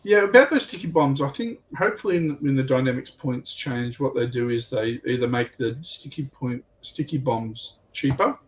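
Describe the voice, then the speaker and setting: A man's voice: mid-range voice, moderate pace, few pauses. A man chatting casually as a guest hobbyist, often repeating words as he explains, recorded at some distance from the mic. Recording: distant mic with faint background noise.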